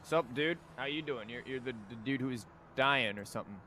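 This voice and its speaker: A man's voice: mid-range voice, fairly quick, sharp tone, slight background noise. Slangy, sweary male game streamer. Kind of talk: casual live gameplay commentary.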